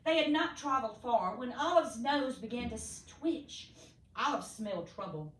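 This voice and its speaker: Lady reading a kids book out loud, high animated voice, echoey room bit far from mic. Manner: theatrical, deliberate emphasis for young listeners. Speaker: storybook reader. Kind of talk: expressive children's story read-aloud. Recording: echoey room, distant mic, faint noise.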